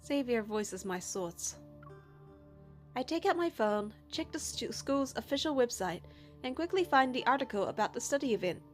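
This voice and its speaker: A woman's voice: a little high, lively intonation, moderate pace, soft background music. A young woman reading a game's story narration and first-person dialogue aloud in a scripted style. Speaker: narrator of a game playthrough.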